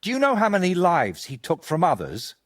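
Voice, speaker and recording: An actor playing a troubled, guilt-ridden older medieval painter in a video game, husky and earnest, as if confessing. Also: male voice, high-pitched, wildly swooping pitch, a little fast, heavily acted. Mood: bitter, desperate. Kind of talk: video game dialogue acting.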